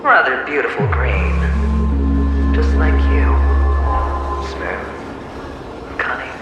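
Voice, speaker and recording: A sardonic, taunting male doppelganger from a video game, heard through a heavy echo over loud music. He draws his words out with mocking relish, his pitch swooping up and down. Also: animated, lo-fi sound. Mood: gleeful, needling. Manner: sardonic, theatrical, drawn-out mocking delivery. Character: taunting mocking inner self of a cunning gambler, male. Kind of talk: theatrical video game voice acting. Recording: heavily echoing, with music behind.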